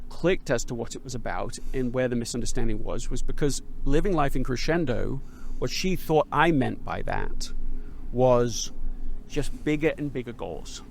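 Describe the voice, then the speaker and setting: Sex: man. Role: podcast host, halting and reflective. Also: medium pitch, lively intonation, creaky, slight background noise. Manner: halting, with many repeated starts; reflective, builds ideas aloud. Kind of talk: conversational interview.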